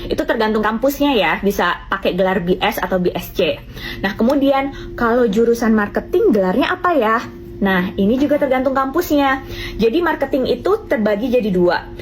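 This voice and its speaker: A young woman, a student, calmly explaining in a measured, lecturing way. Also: on the high side, slight background noise. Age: young adult. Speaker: student in Singapore. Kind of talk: explanatory commentary clip.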